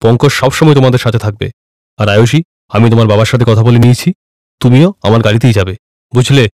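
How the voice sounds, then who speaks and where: A man's voice: medium pitch, quick pace, a little mellow, dry recording, studio quality, natural voice. Man acting authoritative wealthy father. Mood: firm.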